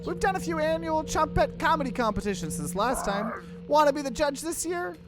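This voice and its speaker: A man voicing an excitable little food creature from a visual novel, theatrical and exclamatory. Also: very high-pitched, brisk, background noise, pitched above the performer's own voice. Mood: cheery, inviting.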